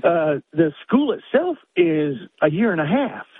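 A man's voice: sits high, very animated, measured pace, gravelly, narrow, tinny audio, slight background noise. An American man in his sixties calling a radio show by phone, explaining his point insistently and off the cuff. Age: 60s. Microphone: telephone.

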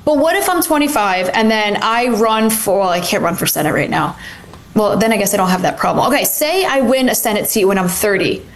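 A young woman in her twenties co-hosting a political talk show. She speaks conversationally and opinionatedly at a medium pitch, sprinkling her talk with "like". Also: big pitch swings, brisk. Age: 20s. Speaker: co-host. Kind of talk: casual political commentary.

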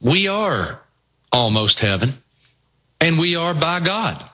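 A man's voice: a little high, takes it slowly. A man with a Southwest Virginia accent, hosting a casual sports talk show and telling folksy stories. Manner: storytelling, folksy and animated. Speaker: host.

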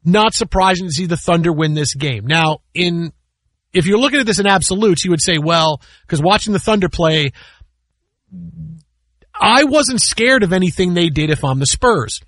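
High-voiced man hosting sports talk radio. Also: expressive, moderate pace, fairly bright tone, dry recording. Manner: emphatic, repeats himself, rhetorical questions. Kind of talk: casual, opinionated sports commentary. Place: radio studio.

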